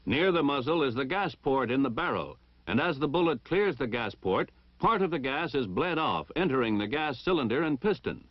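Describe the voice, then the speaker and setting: An American man narrates in the formal, instructional style of a 1960 military training film. His medium-pitched voice moves with lively inflection and careful, precise enunciation. The sound is a slightly dull old film track with very little room in it. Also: normal speed, hardly any pauses, dry recording.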